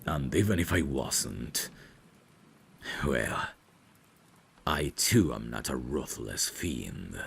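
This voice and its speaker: A man playing a devoted, courteous vampire butler in an intimate ASMR audio roleplay, speaking formally and deliberately in a gravelly, breathy voice. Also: rumbling low, big pitch swings, a little hiss or hum, full character voice. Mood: grave, sympathetic. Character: devoted, courteous male vampire butler, tender yet menacing.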